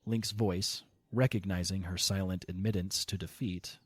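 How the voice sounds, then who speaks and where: A man narrating a fan audio drama in a calm, measured reading. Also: low-pitched. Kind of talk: scripted storybook narration.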